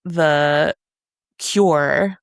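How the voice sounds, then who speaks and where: A woman's voice: deep voice, extremely slow, vocal fry, no echo. A woman co-hosting a podcast, chatting casually about books with a wry, sometimes sweary manner. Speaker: podcast co-host. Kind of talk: casual book discussion chat.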